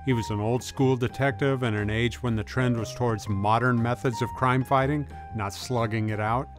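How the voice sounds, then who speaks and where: A man narrates a video essay from a script, using informal, folksy turns of phrase. His pitch sits in the middle of the male range. Music with guitar plays clearly behind him. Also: fairly even intonation, normal speed. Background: music bed with guitar.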